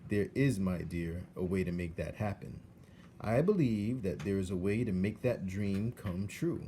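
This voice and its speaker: A man's voice: low-pitched, a little slow, dark tone, a little hiss or hum. A man voicing a scheming male rat in a storybook, with courtly, coaxing, over-polite speech. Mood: scheming, flattering. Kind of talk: storybook character voice.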